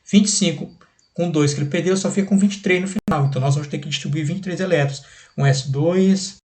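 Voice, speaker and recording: Man, Brazilian accent, fairly high pitch, teacher, didactic step-by-step explanation, repeats steps, frequent check-in tags. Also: quick pace, darkish tone. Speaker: chemistry teacher. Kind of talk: recorded lesson, working through exercises step by step.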